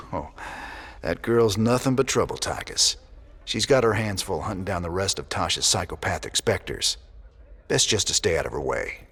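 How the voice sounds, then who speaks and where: A man's voice: low-pitched, animated, normal speed, gravelly, a bit bright, breathy edge, heavily acted. An actor playing a weary, laconic male rebel commander with a dry, laid-back drawl.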